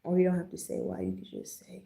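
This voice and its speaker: A woman's voice: on the low side, wildly swooping pitch, fast, creaky, mellow. A woman with an American accent, chatting casually and in a laid-back, slangy way as she hosts a livestream. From Jamaica, raised in the US. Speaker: livestream host. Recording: roomy, echoing livestream audio.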